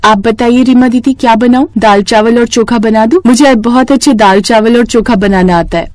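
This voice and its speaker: A woman's voice: a little high, moderate pace, a little mellow, no echo, faint music behind, close to an everyday voice. A woman voicing a humble, earnest housemaid in a cartoon story, speaking politely and deferentially. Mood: polite, eager. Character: humble, earnest poor housemaid, a grateful daughter-in-law. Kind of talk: Hindi cartoon story dialogue.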